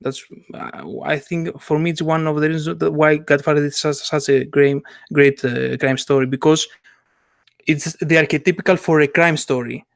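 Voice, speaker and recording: Halting man, dry sound. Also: fairly high pitch, fairly even intonation, gravelly, no room sound. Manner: halting, many restarts and fillers. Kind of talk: casual chat.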